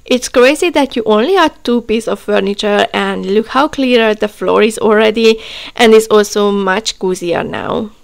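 Woman with a gravelly voice-over. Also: mid-range voice, moderate pace, soft background music. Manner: calm, slightly non-native grammar. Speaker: vlogger. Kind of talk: voice-over narration.

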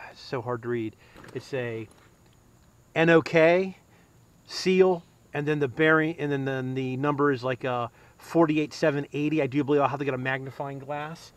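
A man narrating a casual do-it-yourself repair outdoors, thinking aloud with fillers and restarts, recorded on a head-mounted camera. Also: fairly high pitch. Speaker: DIY mechanic. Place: outdoors in a yard. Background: crickets and insects.

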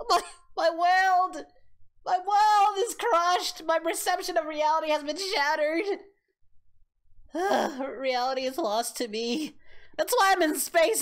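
An excitable cartoon martial-arts hero with an extremely high, bright voice that swings widely in pitch. He reacts in melodramatic, distraught exclamations, often repeating himself as he comments. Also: heavily acted. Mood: despairing. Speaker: reaction video host. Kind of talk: comic in-character reaction commentary.

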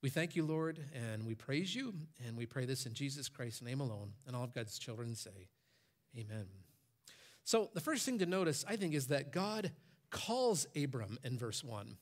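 A man's voice: medium pitch, big pitch swings, normal speed. A pastor in his forties preaching on a church stage, his voice lifting when he quotes commands. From Michigan, USA. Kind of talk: sermon.

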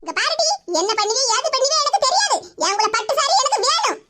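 A woman playing a quick-tempered older mother-in-law who scolds sharply. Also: very high voice, sing-song, full character voice. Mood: angry. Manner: sharp, scolding, fast-talking.

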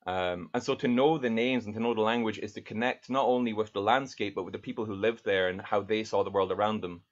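Man, thirties, poet reading aloud, thoughtful and deliberate, lowish voice, dull video-call sound. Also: steady tone. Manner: earnest, thoughtful, reads verse slowly and deliberately. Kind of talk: poetry reading with spoken introductions. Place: home study lined with bookshelves, indoors. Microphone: video call webcam.

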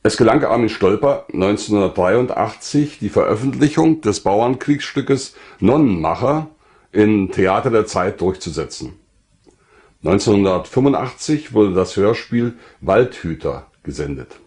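A man's voice: on the low side, expressive, unhurried, darkish tone. An older man in his sixties or beyond, from eastern Germany, reading a scripted autobiographical narration. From Lusatia, eastern Germany. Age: 60s or older. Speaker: writer and former pastor telling his own story.

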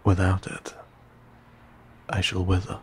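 A man playing an old vampire in an intimate audio roleplay, courteous and wry, with a husky, breathy voice and archaic, theatrical phrasing. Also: deep voice, completely flat delivery, a little hiss or hum. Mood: hushed, confessional. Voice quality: husky, gravelly, often dropping to breathy whisper. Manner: archaic, formal, theatrical, teasing, halting.

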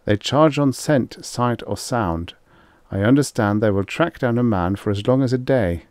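A man's voice: medium pitch, animated, moderate pace, a little mellow, dry recording, clean studio sound, voice pushed up, natural voice. A man voicing a curt, practical hunting aide in a science fiction story, matter-of-fact in manner.

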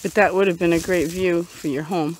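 A woman vlogger casually narrating a nature walk outdoors, musing aloud in a halting, unscripted way. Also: on the low side, steady tone. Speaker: vlogger and walking guide. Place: outdoors on a woodland trail. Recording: outdoor noise, slightly muffled at times.

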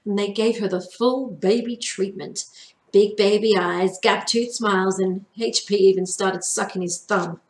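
A woman in her thirties, a teacher, reading a children's storybook aloud in an expressive, animated way. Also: mid-range voice, measured pace. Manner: animated, acts out the dialogue. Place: small furnished room at home. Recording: echoey home room.

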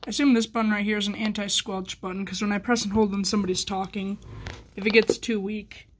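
A woman's voice: mid-range voice, little pitch movement, quick pace, a little hiss or hum. A woman chatting informally in a hobby vlog, rambling and thinking aloud as she goes. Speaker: hobbyist video maker. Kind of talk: casual vlog chat.

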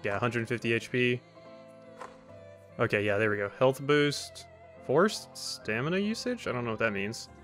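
Male gaming host, average pitch, chatty and rambling with dry asides, soft music underneath. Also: animated, normal speed. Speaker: let's play host. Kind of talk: casual gameplay commentary. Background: faint game music.

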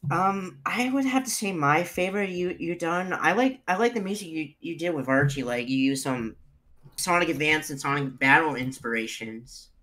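A man's voice: fairly high pitch, lively intonation, normal speed, sharp tone. A man chatting casually as a fan putting questions to a panel. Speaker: fan asking questions, crew member. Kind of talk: casual chat.

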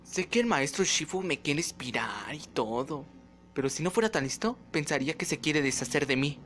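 A woman's voice: deep voice, animated, normal speed. A woman with a Latin American accent voicing a big, clumsy, eager young male panda in a cartoon fandub. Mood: chatty. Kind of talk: animated film fandub acting. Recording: film music behind.

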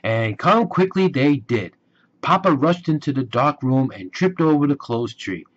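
A man narrates a bedtime storybook in a middle-pitched voice. His delivery is gentle and deliberate, with lively swings of intonation. The sound is a little dull, like a simple home recording. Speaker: children's storybook reader.

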